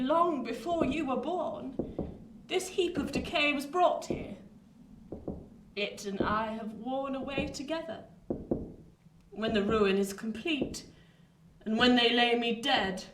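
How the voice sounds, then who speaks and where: A woman playing an imperious, morbid old jilted lady in a Victorian radio play, haughty and theatrical. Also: fairly high pitch. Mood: bitter, imperious. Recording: roomy, distant, noisy home recording.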